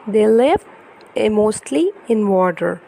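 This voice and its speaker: A woman's voice: medium pitch, expressive, takes it slowly, vocal fry, warm tone, poor audio. A woman reading a picture book aloud to young children in a teacherly way, with questions and exclamations.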